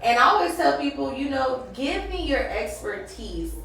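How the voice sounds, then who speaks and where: Echoey, high-pitched woman hosting casually. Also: big pitch swings, normal speed, gravelly, fairly bright tone. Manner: informal, slangy, chatty. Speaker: interviewer and host. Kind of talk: casual interview chat. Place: echoey room at a mixer event. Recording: very echoey room, distant mic, faint noise.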